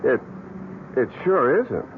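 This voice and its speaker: An actor playing an eager, excitable young amateur photographer in a 1970s radio drama. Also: male voice, medium pitch, slow. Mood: baffled, insistent. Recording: tinny old radio broadcast, noisy.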